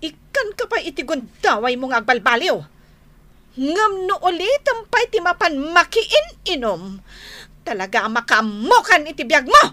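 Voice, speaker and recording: A woman's voice: sits high, very animated, normal speed, pushed and bright, no room sound, exaggerated voice. A woman acting a fed-up, nagging wife in an Ilocano radio drama, with an Ilocano accent and emphatic exclamations. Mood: exasperated scolding. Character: scolding wife, exasperated, fed up with lazy drinking husband.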